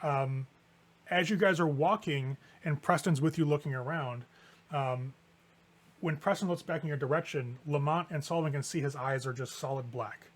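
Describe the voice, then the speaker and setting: Man, game master, fairly high voice, tabletop horror narration, casual improvised phrasing. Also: moderate pace.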